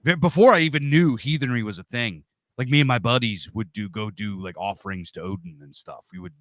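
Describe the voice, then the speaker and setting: Loud, forceful man. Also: mid-range voice, brisk, dry recording. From Pennsylvania, USA. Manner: loud, big-voiced talker. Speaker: interview guest. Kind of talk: casual storytelling.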